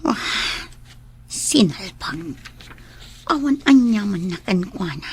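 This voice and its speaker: A woman's voice: medium pitch, sing-song, slow, slight background noise. A woman playing a businessman's strong-willed daughter in a radio drama, with a Filipino accent. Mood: pouting, annoyed.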